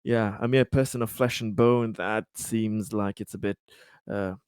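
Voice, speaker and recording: A man's voice: fairly low pitch, fairly even intonation, unhurried, a little mellow, smooth, no room sound, studio quality. A man playing a tabletop roleplaying game, bantering in character in a hesitant, politely wry way.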